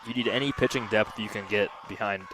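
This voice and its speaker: A male color commentator talks through a softball game in a conversational way. His voice is on the low side, and he adds analysis between plays. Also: steady tone, fast, noisy background. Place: outdoors at a ballfield, on a platform by tables.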